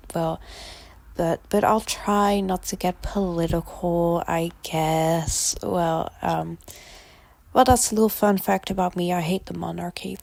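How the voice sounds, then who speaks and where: A woman's voice: lowish voice, vocal fry, darkish tone, no echo. A teenage girl with a Dutch accent, whose first language is Dutch, rambling casually with frequent ums in a voice-over recorded on a handheld phone. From Netherlands. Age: teens. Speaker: gaming channel creator. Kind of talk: casual voice-over chat over a speed build. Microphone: phone held in hand.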